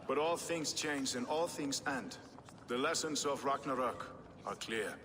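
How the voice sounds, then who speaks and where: A man's voice: medium pitch, expressive, lightly acted. Man acting grandiose Norse king in a ringing hall. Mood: calm, gracious. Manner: grandiose, measured, commanding speech. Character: proud ambitious Norse warrior king addressing his hall. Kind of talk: video game cinematic acting, formal oratory. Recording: reverberant hall with faint background noise.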